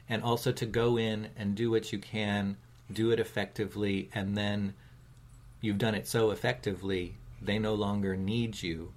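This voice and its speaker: Man, lowish pitch, talk show host, conversational, explains things deliberately. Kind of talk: conversational discussion.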